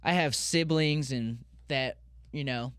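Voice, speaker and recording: A man in his thirties from Colorado, chatting as a podcast guest in a candid, rambling way, circling back and restarting his sentences. His voice is smooth and clear, sitting at a middle pitch, and it sounds dry, with almost no room around it. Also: takes it slowly, fairly bright tone, no echo. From Colorado, USA. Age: 30s. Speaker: guest, pro skateboarder. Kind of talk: casual podcast interview.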